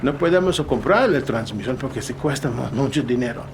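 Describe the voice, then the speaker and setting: A man's voice: lively intonation, fast, close to an everyday voice. An actor playing a kindly, folksy truck driver and proud father who rambles along in an audio drama. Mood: chatty, friendly. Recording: noisy rumbling vehicle ambience. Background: truck engine and road noise.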